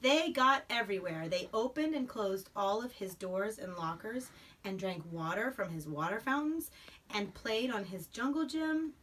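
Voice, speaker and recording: A woman reading a picture book aloud to a young child in an expressive storybook style, with simple chatty asides. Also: mid-range voice, normal speed. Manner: animated storybook reading, simple child-directed explanations and prompts. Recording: noisy, distant home microphone. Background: room noise and a young child's voice.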